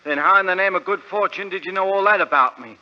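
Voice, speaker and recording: A man's voice: high-pitched, fast, natural voice. A man playing a fussy, easily astonished middle-aged British working-class tradesman in a 1940s radio drama. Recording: dull, lo-fi old radio recording.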